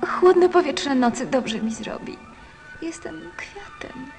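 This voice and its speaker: A proud, coquettish flower in a radio play. The voice is high and unhurried, theatrical and tender underneath, with music clearly behind it. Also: female voice, lively intonation, full character voice. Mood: proud, insistent. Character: vain, capricious talking rose, proud and tender. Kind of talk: radio drama acting.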